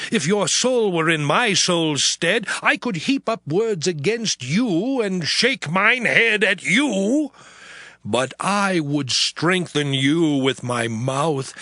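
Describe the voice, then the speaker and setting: An actor playing an anguished, suffering man who laments bitterly in a slow, impassioned, theatrical dramatized Bible reading. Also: high voice, no room sound, studio quality, exaggerated voice. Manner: slow, drawn-out, impassioned declaiming. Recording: loud background music. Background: music.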